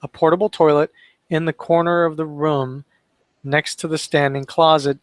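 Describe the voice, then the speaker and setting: A man with a somewhat high voice talks through inspection findings in a relaxed, conversational way. He often stops to fill with "um" between items. The sound is dry and close, with no room echo. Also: moderate pace. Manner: conversational, reads citations aloud with fillers. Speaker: webinar co-host. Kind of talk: casual training discussion.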